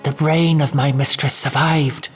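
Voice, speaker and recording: A man's voice: fairly high pitch, steady tone, measured pace, soft background music, voice pushed up, natural voice. A man voicing a small, twitchy clone servant in an audio story, fawning and eager like a lapdog. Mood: adoring. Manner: eager, yapping like a lapdog, reverent.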